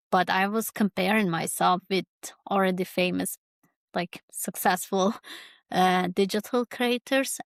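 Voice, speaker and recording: Halting woman with a creaky, even voice. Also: mid-range voice, no room sound, studio quality. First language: Kurdish. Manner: halting, searching for words. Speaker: podcast host. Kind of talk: personal reflective monologue.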